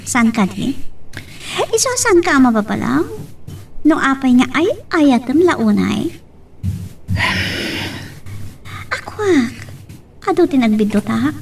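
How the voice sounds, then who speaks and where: A woman's voice: high voice, vocal fry, full character voice. A woman with an Ilocano Filipino accent acting a scheming, lovelorn young woman in an emotional romance drama. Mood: tipsy self-pity. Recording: distant mic with faint noise.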